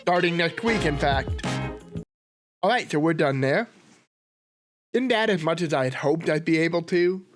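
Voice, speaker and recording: A man's voice: a little high, normal speed, pauses often, faint music behind. Man with a sore throat, casual rambling. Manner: relaxed, rambling, thinks aloud with fillers. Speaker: history reaction host. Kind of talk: casual commentary.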